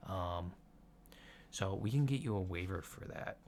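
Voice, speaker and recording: A soft-spoken man doing an ASMR roleplay as a calm, hesitant alien doctor, matter-of-fact and clinical. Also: deep voice, a little slow. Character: calm, mildly awkward alien doctor giving a checkup. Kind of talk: ASMR medical checkup roleplay. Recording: lo-fi home recording with background noise.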